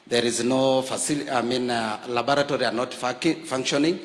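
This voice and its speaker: A Kenyan man giving a formal public speech to political leaders, measured, with frequent fillers, rising to stress key points. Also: mid-range voice, fairly even intonation. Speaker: health worker leader addressing a political forum. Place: large university hall. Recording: reverberant hall.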